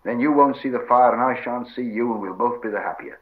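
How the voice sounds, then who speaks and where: A man voicing a gruff, practical, stubborn hobbit servant who talks in homely rustic phrases in a storybook reading. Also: medium pitch, quick pace, phone-quality sound, lightly acted. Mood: stubborn, scolding.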